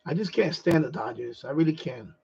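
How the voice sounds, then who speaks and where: Hoarse New York man, gravelly and high. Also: fairly quick. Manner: repeats and restarts phrases, animated sports fan. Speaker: livestream host. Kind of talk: casual rambling chat. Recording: dull lo-fi stream audio.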